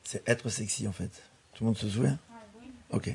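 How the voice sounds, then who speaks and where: A man's voice: on the low side, normal speed, mellow, a little hiss or hum. A man guiding a group radio show and handing round the microphone, heard from off-mic. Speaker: show facilitator.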